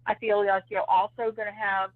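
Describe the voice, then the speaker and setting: A woman's voice: medium pitch, little pitch movement, normal speed, dry recording. Woman psychic on a tinny phone line. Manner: hedging, repeats "I feel like". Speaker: psychic reader. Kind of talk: live call-in psychic reading.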